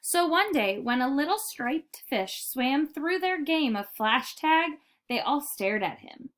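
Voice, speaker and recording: A woman narrating a children's picture book in an expressive, deliberate storytelling manner. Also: high-pitched. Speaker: read-aloud storyteller.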